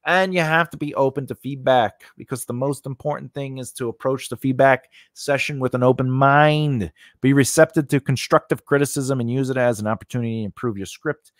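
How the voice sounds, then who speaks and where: A man's voice: mid-range voice, brisk, hardly any pauses, no room sound. A man in his forties giving an informal, emphatic tutorial into a close studio microphone, repeating key points and checking in with short questions. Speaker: host of a screenwriting tutorial channel. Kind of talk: informal instructional talk to camera, partly read from notes. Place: indoor home studio. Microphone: close studio condenser microphone on a mount.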